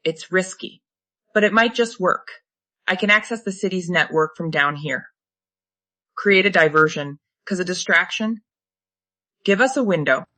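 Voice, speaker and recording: Woman voicing a bright, tense, determined tech fugitive. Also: lowish voice, a little slow, long pauses, dry recording. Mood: worried. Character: worried, determined female tech-savvy fugitive partner. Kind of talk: screenplay table read, synthetic voice.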